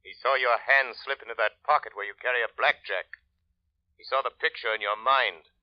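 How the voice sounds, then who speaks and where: An actor playing a mysterious crime-fighting man in an old radio drama, speaking calmly and with command. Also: mid-range voice, lightly acted. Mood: menacing. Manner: calm, measured, commanding. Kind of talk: 1940s radio acting. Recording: dull old radio broadcast.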